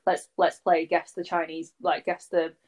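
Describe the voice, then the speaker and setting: A woman's voice: low voice, little pitch movement, normal speed, darkish tone. A woman, chatting as a podcast guest in a rambling, conversational way full of fillers. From Warrington, England. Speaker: podcast guest, agency founder. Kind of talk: casual conversational interview.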